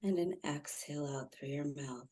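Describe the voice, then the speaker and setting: Female meditation guide, low and dark-toned, soothing, with spacious pauses. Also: slightly rough. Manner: soft, soothing, gently instructive with long pauses. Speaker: meditation guide, intuitive healer.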